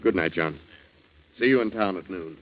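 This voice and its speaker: Middle-aged hanger-on male actor, 1940s radio play. Deep, dry, wry, faintly cynical; narrow vintage broadcast sound. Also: moderate pace, lightly acted. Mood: sheepish. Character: wry, sponging aging old friend, faintly cynical. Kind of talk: 1940s radio drama acting. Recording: muffled narrow-band 1940s radio recording.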